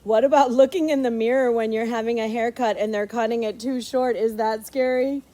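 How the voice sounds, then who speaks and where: A middle-aged woman with a notably high voice reads a storybook aloud to a child. She is playful and theatrical, leaving dramatic pauses before important words. Between passages she drops into casual, chatty asides. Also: fairly even intonation, crowd noise. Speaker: librarian reading a picture book aloud to a child.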